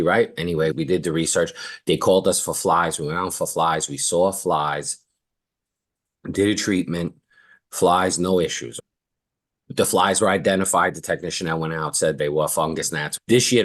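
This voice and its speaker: Very deep-voiced man telling work stories. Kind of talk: casual conversational anecdotes.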